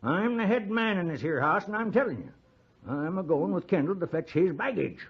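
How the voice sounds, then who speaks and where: An actor playing a wily, henpecked old American frontier settler, with a raspy, folksy rustic drawl and stammering, wheedling talk in 1950s radio Western style. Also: male voice, high-pitched, expressive, a little fast, exaggerated voice. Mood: indignant. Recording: muffled 1950s radio recording.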